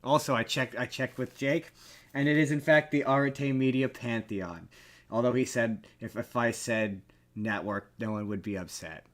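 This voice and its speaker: A man's voice: mid-range voice, steady tone, moderate pace. A man hosting a podcast with casual comedic chat, talking animatedly and repeating phrases for comic emphasis. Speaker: podcast host. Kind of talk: casual comedic chat about pop culture.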